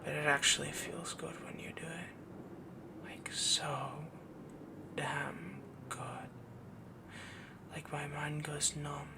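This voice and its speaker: Man voicing a breathy, half-whispering possessive werewolf boyfriend. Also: on the high side, little pitch movement, slow, long pauses, heavy background noise. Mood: adoring. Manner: rambling, dominant, cooing endearments. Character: possessive, doting young male werewolf boyfriend. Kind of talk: soft-spoken intimate roleplay audio, ASMR.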